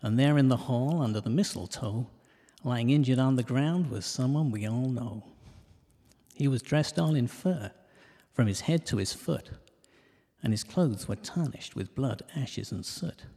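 Male narrator, mid-pitched, measured comic rhyming storytelling, recorded with long reverb. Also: mellow, slightly rough. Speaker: narrator of a comic detective podcast. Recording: long reverberant hall echo.

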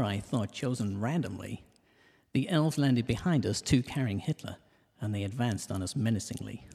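A man playing a cheeky, self-mocking detective who narrates a comic audio drama in rhyme, landing puns deadpan. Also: mid-range voice, breathy edge. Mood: wry storytelling. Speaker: narrator and lead character. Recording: reverberant hall sound.

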